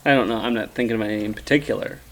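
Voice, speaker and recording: A man's voice: on the low side, rapid-fire, gravelly, fairly bright tone. A man co-hosting a podcast in casual, opinionated group chat, with some swearing. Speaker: podcast co-host.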